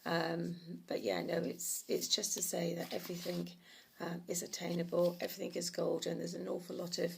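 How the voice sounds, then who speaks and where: A middle-aged woman with a croaky, hoarse voice, giving a meandering oracle card reading on a livestream with many soft pauses. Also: on the low side, little pitch movement, slight background noise.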